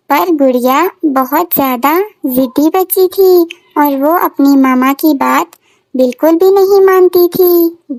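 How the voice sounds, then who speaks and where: A woman tells a scripted story for children. Her pitch is high and her phrasing simple, in a dry recording without room sound. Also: a little mellow, no room sound, low-quality recording.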